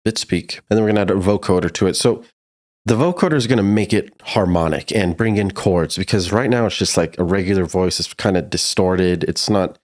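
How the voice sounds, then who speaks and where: Low-pitched man giving a casual tutorial. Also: fairly quick, a little mellow. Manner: informal, explains step by step. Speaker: music production tutor.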